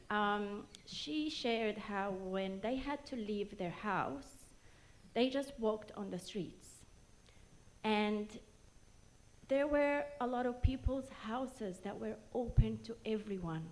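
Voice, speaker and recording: A woman from Jerusalem giving an earnest, rousing speech at a rally in short phrases. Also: mid-range voice. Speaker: rally speaker.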